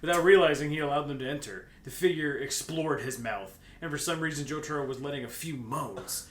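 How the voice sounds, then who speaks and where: A man's voice: on the high side, a little fast. A man co-hosting a comic fan fiction reading, moving between reading aloud and joking casual banter. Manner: animated, joking, often breaking into laughter. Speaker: co-host and reader. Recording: roomy sound with faint noise.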